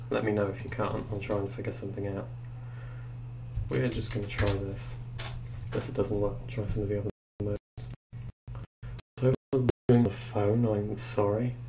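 A deep-voiced young man casually reviewing software on his computer, thinking aloud with hesitant fillers. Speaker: tech reviewer. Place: indoors at a computer. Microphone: desktop microphone. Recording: noisy recording with hum.

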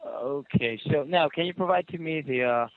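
Male hardware support agent, polite and halting, mid pitch, muffled dry phone line. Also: no room sound. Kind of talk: customer service phone call.

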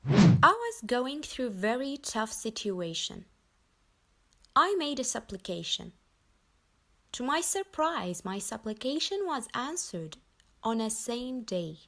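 A young woman from Nepal reading her personal story aloud in an earnest, measured way. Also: on the high side, very animated, fairly bright tone. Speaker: convert telling her story. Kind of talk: scripted personal narration.

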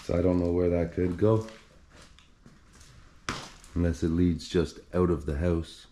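A man filming himself as he explores a flooded basement in an abandoned house, talking in a low, hushed voice while crouching and climbing over clutter. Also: little pitch movement, unhurried, slight background noise. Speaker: urban explorer filming a tour. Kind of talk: hushed exploration vlog narration.

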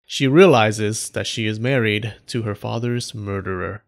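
A man's voice: lowish voice, unhurried, creaky. A man narrating scripted stories as a host, in an informal, wry and dramatic way with casual asides. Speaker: storyteller and host of an animated folktale channel.

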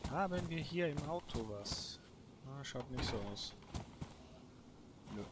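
A man's voice: on the high side, lively intonation, takes it slowly. A man giving casual solo let's play commentary, muttering short remarks to himself. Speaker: gaming video host. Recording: clearly noisy background with clicks.

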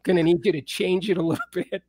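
A man's voice: sits high, flat delivery, fast, a little mellow, breathy edge, dry recording. A middle-aged man hosting a casual live-stream chat from his home studio, talking in a laid-back, rambling way with frequent laughs. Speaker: host. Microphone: studio mic on a stand.

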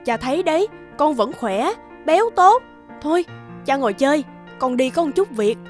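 A woman's voice: high-pitched, animated, moderate pace, darkish tone, faint music behind. A woman playing a curt, cold, dismissive grown daughter in a cartoon, brusque and impatient. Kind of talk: cartoon voice acting.

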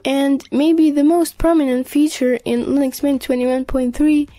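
High voiced lady reading a scripted tech update, soft mellow tone, measured, bit of music behind. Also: steady tone. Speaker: narrator of a tech news video. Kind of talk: scripted narration.

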